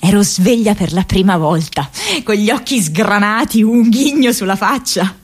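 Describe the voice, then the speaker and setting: An actress playing a restless, playful, intense college-age young woman in a radio theatre monologue, confessional and halting with dramatic pauses and bursts. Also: mid-range voice, slightly rough, close to an everyday voice. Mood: wonderstruck.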